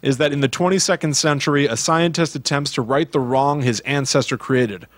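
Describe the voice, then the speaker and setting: A man with a mid-pitched voice talks as a podcast co-host, recorded dry and close. His delivery is casual and comedic, with a playful storyteller's manner. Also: steady tone, fairly quick, no echo.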